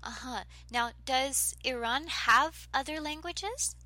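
A woman hosting an English learning podcast, speaking in a gentle, measured and encouraging way as she introduces and interviews a guest. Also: sits high. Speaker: podcast host. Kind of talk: scripted intro and interview questions.

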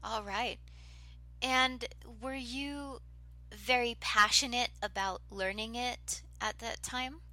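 Very slow, gentle podcast host woman. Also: fairly high pitch, rough recording. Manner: gentle, measured, encouraging. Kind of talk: scripted intro and interview questions.

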